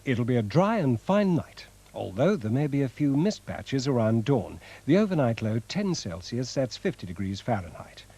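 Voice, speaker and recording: A man's voice: medium pitch, sing-song, moderate pace, few pauses, music in the background. A British man reading scripted announcements as a television continuity announcer.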